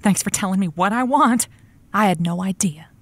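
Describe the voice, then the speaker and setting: A woman's voice: medium pitch, moderate pace, close to an everyday voice. An actress playing a blunt, guarded woman who hosts a radio advice show, in a dry audio drama delivery. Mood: exasperated. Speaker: radio show host character.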